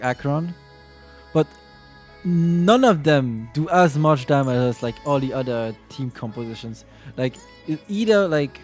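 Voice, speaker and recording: A man with a somewhat high voice chats casually on a livestream about a game. He rambles, restarting and correcting himself mid-sentence. Soft music plays quietly behind him. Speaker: streamer.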